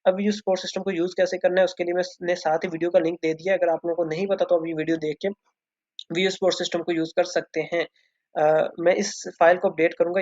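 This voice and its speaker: A man's voice: sits high, darkish tone, dry recording. A man with a Pakistani accent giving an informal, earnest explainer to students, instructive and repetitive, mixing English phrases into his speech. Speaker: student-community video host. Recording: dull, lo-fi close dry voice recording.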